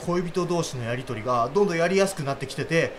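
An actor playing an excitable, lovestruck young man, cocky yet insecure, in slangy junior's speech for a comedic radio drama. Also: fairly high pitch, fast talker, noisy background, close to an everyday voice. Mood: earnest, smitten.

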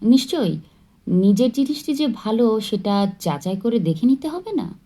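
A woman's voice: on the high side, sing-song, moderate pace, mellow, voice pushed up. Woman voicing a teasing, mock-grave young man. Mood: mock-serious.

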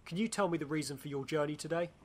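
A man's voice: fairly high pitch, very fast, a little hiss or hum, natural voice. A man playing a courteous, official police officer in an audio drama. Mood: polite, firm.

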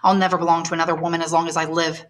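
A woman's voice: deep voice, level tone, rapid-fire, lightly acted. A woman reading aloud and voicing a devoted young man, earnest and reassuring, close to her own voice. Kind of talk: audiobook character voice.

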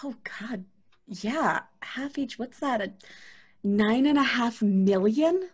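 Fiery young twin sister, high raspy voice, fed up, horror audio drama. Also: female voice, expressive, slow pace, full character voice. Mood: incredulous. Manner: exasperated, demanding. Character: hot-tempered, indignant young twin sister. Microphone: video call.

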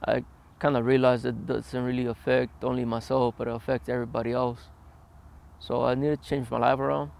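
A young man in his twenties speaks outdoors in a quiet desert yard, his voice heavily gravelly and fried. He talks flatly with little rise and fall, stopping often on hesitant "uh"s as he answers. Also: mid-range voice. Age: 20s. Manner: plain, halting, with many "uh" pauses. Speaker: interviewee. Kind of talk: documentary interview, recounting his past.

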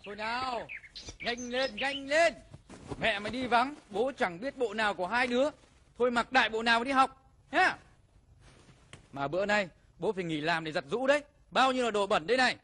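A man's voice: very high-pitched, moderate pace, sharp tone, heavily acted. A man playing a frazzled, hapless father in a cartoon, flustered and grumbling. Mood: hurried. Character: frazzled, hapless father of two young children. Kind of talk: cartoon voice acting.

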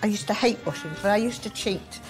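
A woman's voice: medium pitch, fast talker. An older woman recalling housework as a neighbour, talking in a practical, matter-of-fact way in a kitchen, with music playing underneath. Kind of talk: casual chat. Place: period-style kitchen, indoors. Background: soft music.

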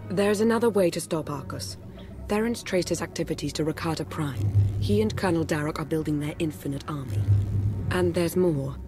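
Calculating game spy, low voice, formal, measured, music behind. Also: female voice. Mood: grave. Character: composed, calculating female Imperial intelligence agent. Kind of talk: video game character acting.

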